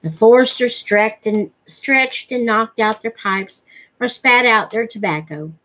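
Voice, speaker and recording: A woman's voice: on the high side, unhurried, fairly bright tone, poor audio. A middle-aged woman reading a novel aloud as a storyteller at home. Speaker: reader of a novel read-along. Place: living room at home, indoors.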